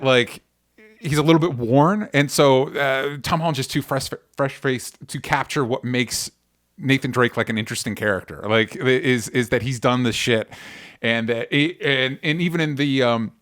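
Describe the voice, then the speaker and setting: A man hosting a podcast in casual chat, halting and correcting himself as he goes, swearing freely. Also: mid-range voice, a bit bright, dry recording, clean studio sound. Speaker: podcast host.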